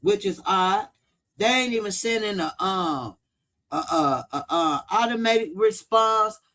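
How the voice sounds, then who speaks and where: High-pitched man, blunt livestreamer. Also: animated, unhurried, bright, forceful tone. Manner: blunt, profane, repeats points for emphasis. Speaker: true-crime commentary livestream host. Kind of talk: casual livestream commentary, replying to viewers.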